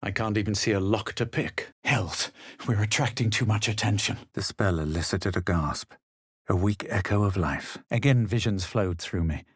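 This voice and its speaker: Haughty low-voiced adventurer hero. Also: male voice, breathy edge, dry recording, clean studio sound. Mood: wary, superior. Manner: superior, dramatic, measured. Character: proud, haughty male adventurer hero of a fantasy game. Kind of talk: fantasy video game voice lines, narration and incantations.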